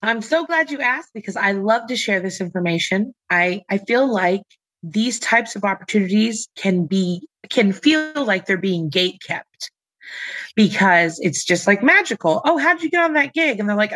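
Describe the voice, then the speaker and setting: Animated woman with a low voice. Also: poor audio. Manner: animated, dramatic storyteller, acts out quoted voices. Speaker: guest, singer and nurse. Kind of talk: interview conversation.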